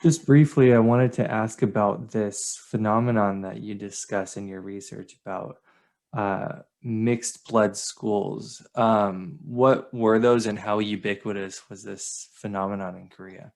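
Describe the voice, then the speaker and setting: A male podcast host with a low, gravelly voice asks a question. He speaks in a measured way with small hesitations. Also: steady tone, darkish tone. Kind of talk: interview question.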